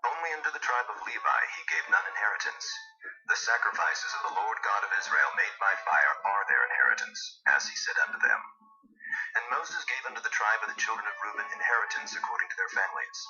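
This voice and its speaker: A man with a fairly low, expressive voice narrates scripture solemnly and formally. He delivers it as measured, dramatized reading. The sound is somewhat muffled, with music behind him. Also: far from the mic.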